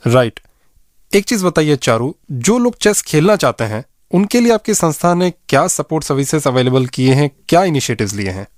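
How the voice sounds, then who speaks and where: A man's voice: a little high, big pitch swings, a little fast, no room sound, clean studio sound. A man hosting a radio interview in a polite, appreciative manner. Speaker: radio show host and interviewer.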